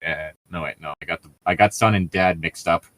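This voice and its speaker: A man's voice: deep voice, brisk, a bit bright, no room sound. A man casually reading fan fiction aloud with friends, cutting in with sweary, mocking asides. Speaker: fan fiction podcast reader. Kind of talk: casual comic read-aloud and banter.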